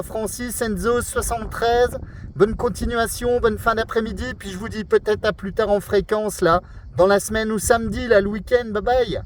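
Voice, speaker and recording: A man's voice: high-pitched, rough recording. A man, a radio operator, chatting casually and amiably in rambling radio jargon while outdoors. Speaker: amateur CB radio operator making a video. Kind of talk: casual radio contact chat. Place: outdoors in a windy spot. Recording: outdoor recording with wind and traffic noise.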